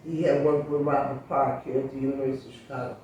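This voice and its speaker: An older woman in her seventies or beyond, speaking as an interview guest in a reflective, emphatic and deliberate storytelling way. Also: rumbling low, flat delivery, mellow, lo-fi sound. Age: 70s or older. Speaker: interview guest, sociologist and teacher. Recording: very echoey room, distant mic, faint noise.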